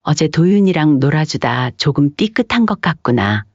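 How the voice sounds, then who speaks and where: A woman acts as an older mother-in-law with a low voice. She is gentle, patient and long-suffering, speaking considerately as in a dramatized audio story. The recording is dry and slightly dull. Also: sing-song, moderate pace, dry recording, exaggerated voice. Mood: weary.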